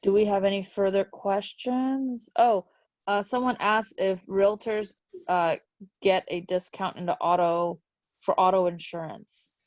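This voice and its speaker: A woman co-hosting a webinar over a video call, relaying audience questions in a polite, measured way. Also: mid-range voice, fairly even intonation, a little mellow, no room sound. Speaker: webinar co-host. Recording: dry, dull video-call audio.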